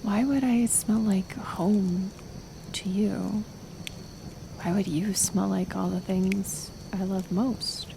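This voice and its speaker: Very slow, halting, gentle woman healer, acted. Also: medium pitch, steady tone, creaky, background noise. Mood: confused, flustered. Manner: halting, soft, hesitant delivery. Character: kind, flustered, curious young woman healer. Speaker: roleplay audio performer. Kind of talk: intimate ASMR roleplay acting.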